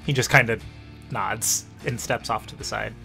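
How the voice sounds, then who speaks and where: A man's voice: fairly low pitch, fairly even intonation, normal speed, vocal fry, bright, forceful tone, soft background music. A man acting as game master, narrating a tabletop roleplaying game in a casual, conversational style.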